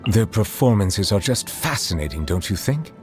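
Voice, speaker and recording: A man playing a reserved, eloquent chief judge in a video game, speaking in a formal and measured way. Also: on the low side, very animated, a little mellow. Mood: thoughtful, measured. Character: formal, reserved male chief judge, secretly a water dragon. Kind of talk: scripted game character voice lines. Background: music.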